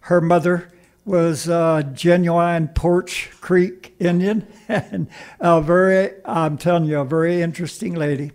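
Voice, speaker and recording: An elderly man in his eighties with a Pennsylvania Dutch accent, telling old stories in a folksy manner on a radio show. His voice sits a bit high for a man, and he takes his time with slow, unhurried speech. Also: fairly even intonation, darkish tone. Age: 80s. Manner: folksy, chuckles often. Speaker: radio interview guest. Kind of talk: casual reminiscing. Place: radio studio interview.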